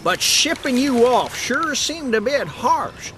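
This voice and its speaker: A man's voice: very high-pitched, big pitch swings, takes it slowly, background noise, heavily acted. A man voicing a folksy, friendly older park ranger in an American accent for a video game. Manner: folksy, drawling turns of phrase. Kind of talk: animated video game character acting.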